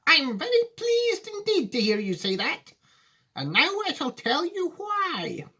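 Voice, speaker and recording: Storybook eccentric old man, played by a male reader: commanding, excitable, raised pitch, very animated. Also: measured pace, full character voice. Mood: delighted, solemn. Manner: emphatic, exclamatory. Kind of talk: storybook read aloud.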